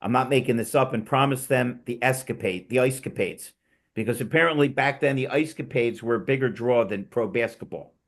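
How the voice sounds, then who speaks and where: Male host, mid-pitched, gravelly, chatty storyteller on a remote call. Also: brisk. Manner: rambling, enthusiastic storyteller with restarts. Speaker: podcast host. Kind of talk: casual panel chat.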